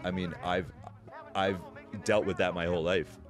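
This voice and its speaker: A man's voice: sits low, little pitch movement, moderate pace, music in the background. A man who sometimes stutters, talking candidly as a guest in a casual podcast conversation. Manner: thoughtful, candid, speaks with some stuttering and restarts.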